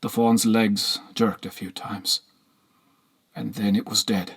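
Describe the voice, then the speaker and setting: A man narrating a historical novel as an audiobook in a measured, solemn storytelling manner. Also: fairly low pitch, level tone, a bit bright, breathy edge.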